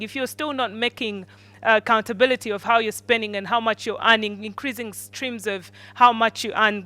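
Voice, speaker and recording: A Ugandan woman wraps up a conference panel with direct, earnest urging. Her voice is bright, a little high, and forward. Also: dry recording. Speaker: panel moderator. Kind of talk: closing remarks at a conference.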